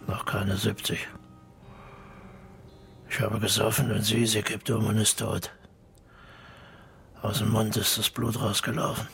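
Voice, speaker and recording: A man's voice: lowish voice, flat delivery, unhurried, gravelly, pauses often, fairly bright tone, husky, faint music behind, heavily acted. An actor playing a bitter older widowed man in a radio drama, blunt and colloquial, speaking in terse fragments. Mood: brooding, reminiscing. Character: gruff, bitter old widowed drinker defending his friend. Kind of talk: naturalistic radio drama dialogue.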